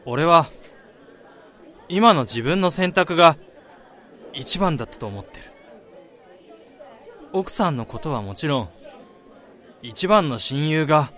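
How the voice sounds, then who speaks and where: An actor in a Japanese radio drama playing a kind-hearted, forgiving young best friend, speaking in an earnest, expressive way. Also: male voice, on the high side.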